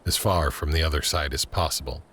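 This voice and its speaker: A man's voice: rumbling low, a little fast, a bit bright, husky. A man narrating an audio drama, reading scripted scene descriptions in the present tense.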